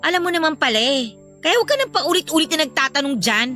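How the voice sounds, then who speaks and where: Irritable young Filipina elder sister: bright, forceful, very high voice, blunt and exasperated radio-drama delivery. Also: female voice, big pitch swings, quick pace, faint music behind, exaggerated voice. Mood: curt, annoyed.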